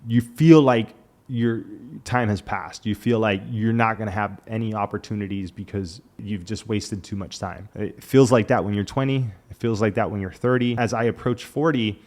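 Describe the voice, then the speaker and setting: Candid man in his late thirties, slightly low voice. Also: a little mellow. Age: 30s. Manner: frank and conversational, peppered with "like". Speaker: vlogger. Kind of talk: candid reflective monologue.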